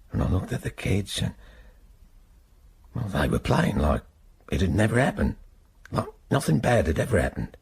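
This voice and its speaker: A haunted working-class English man in a radio drama. His voice is low and very rough, and it swings widely in pitch as he talks in a halting, plain-spoken way. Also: full character voice. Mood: anguished, helpless. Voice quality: husky, gravelly. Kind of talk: naturalistic radio drama acting.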